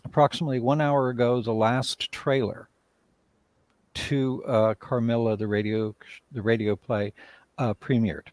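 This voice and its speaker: A man talking casually on a livestream video call as a writer and director, telling things in a hesitant, halting way. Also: mid-range voice, steady tone, a little mellow, no room sound. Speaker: writer and director, interview guest.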